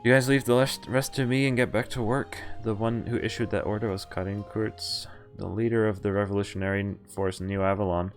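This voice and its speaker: A low-voiced man plays through a visual novel on stream and reads its text aloud in a plain voice. He drops quick casual asides and small laughs between lines. Soft game music plays quietly behind him. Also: steady tone. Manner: plain reading voice broken by quick reactions and chuckles. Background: faint game music.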